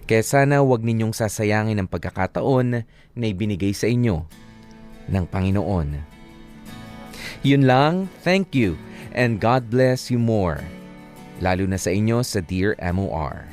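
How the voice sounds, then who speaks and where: A man's voice: on the low side, lively intonation, measured pace, pauses often, a little mellow. Filipino male radio host over background music. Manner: code-switches between Tagalog and English. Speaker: radio host reading a listener's letter and commenting. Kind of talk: radio advice show reading and casual banter. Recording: music playing behind the voice.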